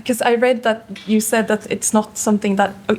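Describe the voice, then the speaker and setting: A woman's voice: medium pitch, level tone, fast talker, a little mellow. A woman on a panel, speaking enthusiastically. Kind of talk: panel discussion.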